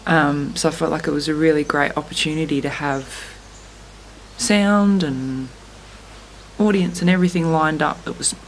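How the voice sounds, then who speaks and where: A woman speaks slowly and thoughtfully in a low, gravelly voice that sounds croaky from singing. She talks candidly, with frequent pauses and fillers. Faint noise sits behind her. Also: fairly even intonation, poor audio. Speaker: musician vlogging at a festival. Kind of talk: reflective casual vlog talk.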